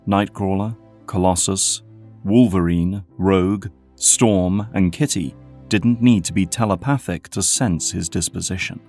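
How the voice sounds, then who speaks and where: A man's voice: deep voice, measured pace, darkish tone, faint music behind. A man delivering scripted dramatic narration as the narrator of an audio drama.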